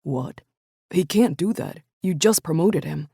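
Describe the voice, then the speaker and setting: A woman's voice: on the low side, animated, a little slow, creaky, warm tone, breathy edge, dry recording, studio quality, heavily acted. A woman voicing a troubled young man who talks in a subdued, regretful way, in an audiobook. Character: troubled young adult man, a guilty football coach. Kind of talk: audiobook character voice.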